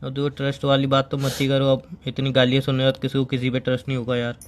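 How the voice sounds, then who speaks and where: A man's voice: medium pitch, completely flat delivery, fast talker, natural voice. A young man playing a teasing, argumentative boyfriend in a casual comic phone-call skit. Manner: teasing, argumentative, mock-aggrieved.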